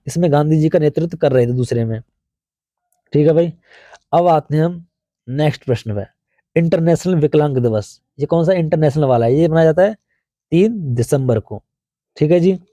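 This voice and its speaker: North Indian male tutor with a very dark tone. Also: on the high side, normal speed, long pauses, dry recording. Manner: explanatory, conversational, asks questions and answers them himself. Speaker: exam coaching teacher. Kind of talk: informal exam review lesson.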